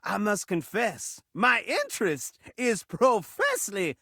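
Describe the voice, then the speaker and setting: A man's voice: high voice, wildly swooping pitch, takes it slowly, vocal fry, sharp tone, breathy edge, no echo, clean studio sound, heavily acted. A man voicing a showy, flirty talking television set in a video game, rhyming with theatrical showman flair. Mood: seductive. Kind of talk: video game character voice acting.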